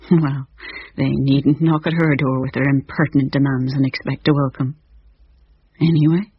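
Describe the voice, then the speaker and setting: Husky guy, kinda high for a man, reading a story all grumpy, dry muffled sound. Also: no room sound. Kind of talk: scripted narration of a ghost story.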